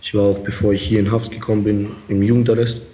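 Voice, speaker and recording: A man's voice: lowish voice, little pitch movement, fast, warm tone, poor audio. A young man from Kazakhstan answering interview questions as an inmate, hesitant with many fillers. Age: young adult.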